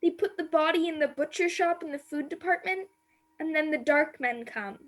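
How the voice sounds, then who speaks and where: A woman's voice: high voice, normal speed, lightly acted. A woman acting a timid, sorrowful young captive maid in a radio play, plaintive and naive, recorded over a video call. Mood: hushed horror.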